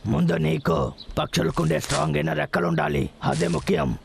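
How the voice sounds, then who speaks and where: Gravelly, bossy cartoon older bear brother. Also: male voice, on the high side, sing-song, a little fast, background noise, full character voice. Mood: sly, coaxing. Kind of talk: cartoon dub acting. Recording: loud music behind the voice.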